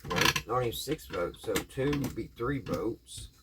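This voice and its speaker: A man's voice: medium pitch, lively intonation, measured pace, very noisy. A man hosting a casual hands-on vlog, talking to viewers in a folksy way and thinking aloud as he works. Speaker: vlog host.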